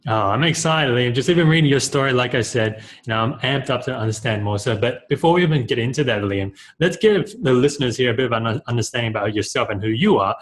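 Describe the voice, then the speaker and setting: A man's voice: mid-range voice, quick pace, hardly any pauses. Enthusiastic male podcast host. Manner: enthusiastic, casual, many fillers. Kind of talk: podcast interview.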